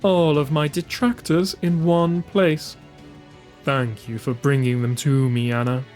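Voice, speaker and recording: A man's voice: on the high side, fairly even intonation, measured pace, a little mellow, soft background music, lightly acted. A man voicing a gloating, sinister witch queen with a smug, taunting manner in an audio drama.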